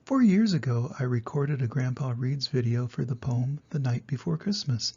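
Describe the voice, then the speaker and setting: An older man reading a storybook to his grandchildren in an affectionate, expressive way, with a husky, creaky voice. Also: mid-range voice, normal speed, muffled recording. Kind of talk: storybook read-aloud with personal introduction.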